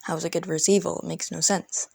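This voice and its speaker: A woman's voice: fairly low pitch, level tone, fast, gravelly, darkish tone, slightly rough, dry recording. A woman telling a casual, rambling storytime in informal, youthful slang. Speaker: storytelling video creator. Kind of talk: casual storytime about an online roleplay game.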